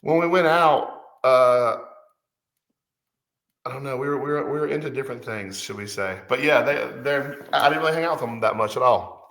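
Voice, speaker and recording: A man's voice: medium pitch, moderate pace, fairly bright tone, roomy sound. A man in his forties, a guest musician, talking casually and hesitantly with many fillers and restarts. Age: 40s. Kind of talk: casual conversation.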